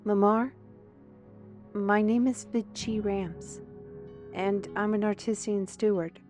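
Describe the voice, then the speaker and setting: A woman acting a gentle, steady magical artisan in an audio drama, reassuring and deliberate, with music behind her. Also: mid-range voice, natural voice. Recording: music under the voice.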